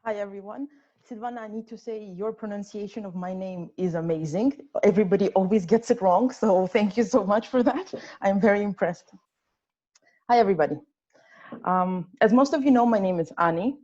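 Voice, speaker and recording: Young woman with a mellow voice. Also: medium pitch, fairly quick. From born in the United States, grew up in Ukraine, Austria and Russia. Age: 20s. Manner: earnest, lightly humorous storytelling. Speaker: club member giving an icebreaker speech. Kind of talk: prepared personal speech. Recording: dull lo-fi sound.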